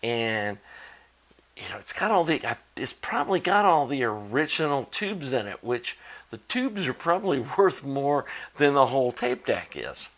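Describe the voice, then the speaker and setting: A man's voice: medium pitch, very animated, moderate pace, a bit bright. A man in his sixties talking casually and informally as he rambles through an electronics hobby vlog. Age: 60s. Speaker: electronics hobbyist and channel host. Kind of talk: casual unscripted vlog talk.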